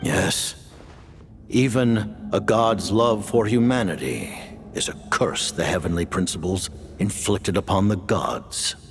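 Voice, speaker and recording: An actor playing an ancient, commanding male leader who speaks in slow, measured pronouncements in a game cutscene. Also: on the low side, noisy background. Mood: solemn. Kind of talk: video game cutscene voice acting.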